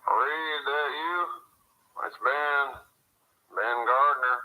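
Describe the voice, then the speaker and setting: Man playing a grumpy, sharp-voiced old-hand boatman. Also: mid-range voice, steady tone, drawn-out words, heavily acted, poor audio. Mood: irritated. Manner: gruff, complaining, blunt. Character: grumpy veteran boat owner at the marina. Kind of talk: radio drama phone call.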